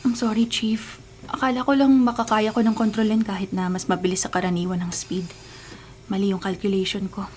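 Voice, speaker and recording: A woman's voice: medium pitch, level tone, a little fast, darkish tone, a little hiss or hum, natural voice. A woman playing an ambitious, earnest young race car driver in a Filipino radio drama. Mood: sorry, subdued. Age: young adult. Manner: earnest, deferential.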